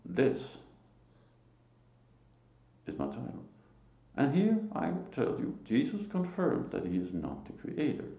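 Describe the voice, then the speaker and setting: A Danish-accented man delivers a slow, emphatic religious talk, pausing often between phrases. His low voice is dark and gravelly and swings widely in pitch. The sound carries a roomy echo. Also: huge pitch swings. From Denmark. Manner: slow, halting, emphatic, with short laughs. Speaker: lay preacher. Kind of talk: solo religious talk to camera.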